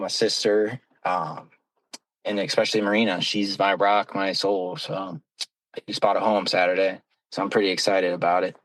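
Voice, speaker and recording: A man's voice: lowish voice, no echo, low-quality recording. A young man chatting casually as a podcast guest, earnest and rambling. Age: young adult. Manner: earnest, rambling, talks with his hands. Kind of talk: casual chat.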